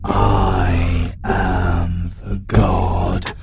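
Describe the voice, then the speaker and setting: A man's voice: low-pitched, monotone, gravelly, background noise, pitched below the performer's own voice, full character voice. A man with a British accent voicing an ancient male demon power, cold and grandiose, in a fantasy audio roleplay. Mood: menacing. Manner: slow, drawn-out menace.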